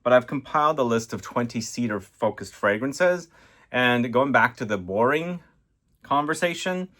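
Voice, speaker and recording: A man's voice: mid-range voice, fairly bright tone. A man from Lebanon hosting a casual fragrance review, talking conversationally to viewers and putting questions to them. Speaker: fragrance reviewer, video host. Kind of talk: casual video review to camera.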